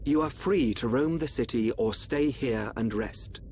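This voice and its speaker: A man playing a calm, enigmatic royal seer in a video game, formal and assured. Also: medium pitch, a little slow, a little hiss or hum, close to an everyday voice. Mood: composed, reassuring. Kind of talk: dramatic video game voice acting.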